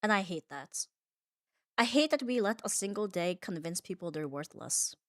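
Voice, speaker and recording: A woman's voice: mid-range voice, lively intonation, normal speed, no echo, clean studio sound. A woman delivering a scripted comfort ASMR monologue in an earnest, reflective manner, leaning on short emphatic phrases. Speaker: ASMR audio performer.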